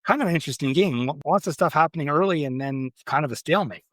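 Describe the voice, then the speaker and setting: A man's voice: on the high side, fast, fairly bright tone, no room sound, studio quality. A man hosting a casual soccer podcast, chatty and friendly as he recaps the weekend's matches. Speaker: podcast host. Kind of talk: casual sports chat.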